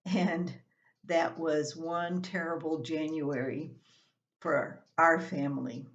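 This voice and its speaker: An older woman reading a scripted, humorous family column aloud as its writer, in an expressive storytelling manner. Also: deep voice, slow, lo-fi sound. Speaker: columnist reading own writing.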